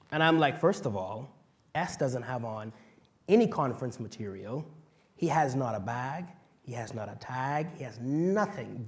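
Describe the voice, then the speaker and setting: A young man from the Bahamas, telling a personal story as a panel speaker, passionate and vocal, swinging from quiet asides to loud outbursts. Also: medium pitch. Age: young adult.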